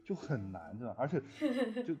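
A man's voice: medium pitch, moderate pace. A man chatting casually as a guest, complaining playfully as he tells stories. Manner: playfully complaining, animated storyteller. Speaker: guest, music arranger and composer of score. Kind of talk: casual chat. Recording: muffled lo-fi sound, music behind. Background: background music.

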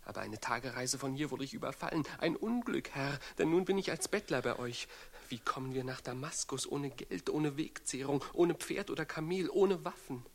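An actor in a radio drama playing a loyal, practical, worried servant disguised as a beggar, deferential and urgent in the telling. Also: male voice, medium pitch, expressive, normal speed. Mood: earnest, troubled. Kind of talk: classic German radio drama acting.

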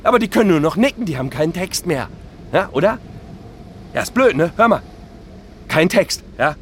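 A middle-aged man in a stage-like radio drama, whose voice sits high. He complains in an agitated, halting stammer. He sounds wounded and self-pitying, firing rhetorical questions. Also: very animated, a little hiss or hum. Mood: aggrieved, sarcastic. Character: aggrieved middle-aged man whining over lost privileges. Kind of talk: theatrical stage monologue.

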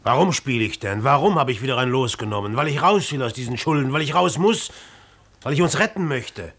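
An actor playing a debt-ridden, secretive and irritable village innkeeper in a 1950s German radio drama, agitated and repeating himself. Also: male voice, mid-range voice, lively intonation, brisk, breathy edge. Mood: troubled, defensive. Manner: agitated, repeating words, scolding and hushing. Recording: noisy 1950s mono radio play recording.

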